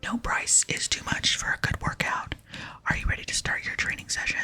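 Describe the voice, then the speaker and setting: A woman's voice: fairly quick, sharp tone, soft background music. A woman whispering the game dialogue of a tough, teasing, motivational barbarian trainer in ASMR style. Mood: teasing, friendly. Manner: blunt, coaching, whispered.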